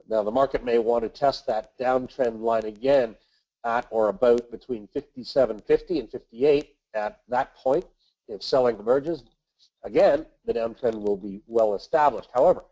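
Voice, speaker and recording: A man with a nasal voice from a cold, hosting a weekly investment webinar and reading market commentary in a measured way with the odd filler. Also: medium pitch, mellow, lo-fi sound. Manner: measured, reads from notes with filler uhs. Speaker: webinar host, investment manager.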